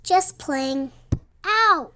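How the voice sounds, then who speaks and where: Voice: extremely high pitch, expressive, very slow, pushed and bright, full character voice. A performer playing an innocent, blunt little boy in an audio melodrama, plaintive and simple in delivery. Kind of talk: melodramatic audio drama acting.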